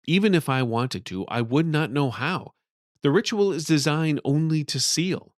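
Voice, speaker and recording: A man's voice: on the high side, lively intonation, normal speed, no room sound, clean studio sound, pitched above the performer's own voice, natural voice. Man playing a wary young fantasy adventurer. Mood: wary, demanding. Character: wary, demanding young nephew, a sword-bearing fantasy adventurer.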